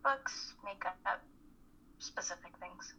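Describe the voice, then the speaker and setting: A woman playing an anxious, self-critical college student in a play reading, husky and hesitant. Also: fairly low pitch, takes it slowly, pushed and bright, heavily acted, rough recording. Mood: awkward, defensive. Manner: hesitant, self-conscious confiding. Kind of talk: dramatic reading of a stage play.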